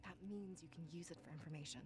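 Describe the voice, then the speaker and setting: A woman's voice: low voice, quick pace, darkish tone, slight background noise, natural voice. A woman playing an earnest young scribe in a visual novel, explaining things urgently to a lifelong friend. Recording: game audio under prominent music.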